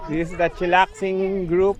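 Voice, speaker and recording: A man's voice: high voice, little pitch movement, normal speed. A man with a Filipino accent chatting casually as a family travel vlogger, mixing Tagalog and English. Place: outdoors at busy tourist spots. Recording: outdoors with busy crowd chatter.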